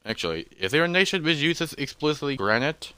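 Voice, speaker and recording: A man's voice: on the high side, lively intonation, fairly quick, bright, forceful tone, clean tone, no room sound, voice pushed up. A man voicing a massive, curious explorer creature in a fantasy roleplay, hesitant and thoughtful as he talks to himself. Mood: musing, curious. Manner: musing, hesitant, talking to himself.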